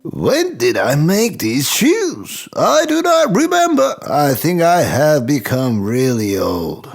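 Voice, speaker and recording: A man voicing a kindly, humble old shoemaker in an expressive, good-natured way for a children's animated storybook. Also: on the high side, takes it slowly, gravelly, soft background music, full character voice. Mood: puzzled.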